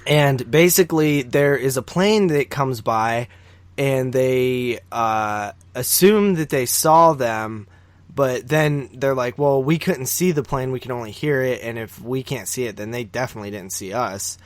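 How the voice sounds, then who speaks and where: A man's voice: medium pitch, a little slow, no room sound. A man co-hosting a podcast, casually recapping a film in a rambling, informal way with some swearing. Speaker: podcast co-host.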